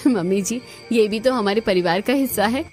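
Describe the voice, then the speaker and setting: एक औरत जो एक दयालु, होशियार जवान बहू को आवाज़ देती है।. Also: female voice, medium pitch, normal speed, darkish tone, soft background music, lightly acted. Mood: gentle. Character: kind, resourceful young daughter-in-law.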